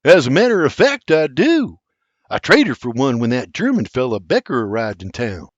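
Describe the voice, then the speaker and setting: A man's voice: medium pitch, big pitch swings, moderate pace, no echo, dull audio. A man voicing an earnest, bashful young Irishman in love.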